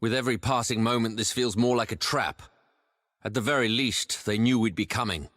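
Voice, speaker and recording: An actor playing an anxious, dutiful space navy commodore in a video game, reporting with urgency. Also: male voice, mid-range voice, a little fast, a bit bright, dry recording, studio quality, close to an everyday voice. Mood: wary. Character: anxious, wary male naval commodore. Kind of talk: video game cutscene acting. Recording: music behind the voice.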